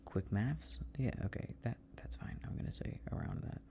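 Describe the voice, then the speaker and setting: A man doing a soft ASMR boyfriend roleplay, murmuring and half-whispering to himself as he works. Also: mid-range voice, moderate pace, noisy background. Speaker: audio roleplay performer playing a boyfriend. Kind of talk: soft improvised ASMR roleplay, muttering to himself while working.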